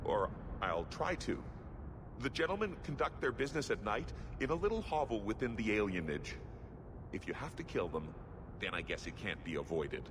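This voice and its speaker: Jittery dwarf merchant, swooping pitch. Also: male voice, sing-song, normal speed, background noise. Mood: nervous, hopeful. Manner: jittery, flustered, polite, evasive. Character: nervous, timid dwarf merchant turned smuggler, male. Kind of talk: video game cutscene acting.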